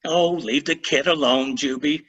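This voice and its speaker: A man's voice: on the high side, a little slow, fairly bright tone, dull audio. An actor in a staged reading playing a wry, laid-back grandfather over sixty. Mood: easygoing. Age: older. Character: grumbling grandfather, dry and easygoing. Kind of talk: virtual staged play reading.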